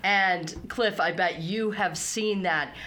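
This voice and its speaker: A woman's voice: lowish voice, slow pace, sharp tone. A woman hosting a radio talk show from the studio, with a polished and upbeat announcer delivery.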